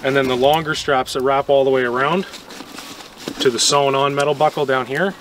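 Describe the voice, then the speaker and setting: A young man giving a casual, hands-on how-to demonstration outdoors in the snow, pausing and restarting as he works. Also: medium pitch. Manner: relaxed, hands-on, stops and starts while working. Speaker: gear maker demonstrating a product. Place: outdoors in snow beside a vehicle. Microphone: camera mic from a few steps away. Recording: distant outdoor mic with ambient noise.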